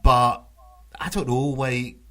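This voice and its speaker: Man, medium pitch, podcast host, casual panel chat. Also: takes it slowly, a bit bright.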